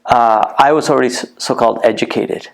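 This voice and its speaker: A middle-aged man with a Canadian accent speaks earnestly in an interview. His voice has a gravelly edge, and he often repeats a word or two as he restarts a sentence. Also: medium pitch, brisk. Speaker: museum co-founder, interview guest. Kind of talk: reflective interview answers. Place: heritage museum.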